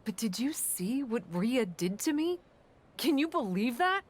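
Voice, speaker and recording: A woman's voice: a little high, big pitch swings, unhurried. A woman playing a brutal, defensive female pro wrestler with dry wit in a video game cutscene.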